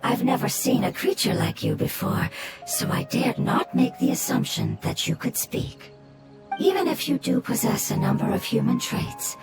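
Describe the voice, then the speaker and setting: An ancient nonhuman creature speaking in a formal, exact and oddly literal way, as a woman voices it. The voice sits a bit high and moves with lively rises and falls, with loud game music underneath. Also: creaky, exaggerated voice.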